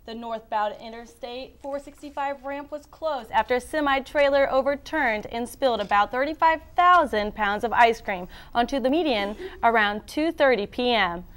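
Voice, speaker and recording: Young woman, news anchor, high-pitched, smooth scripted delivery. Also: moderate pace, hardly any pauses, a bit bright. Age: young adult.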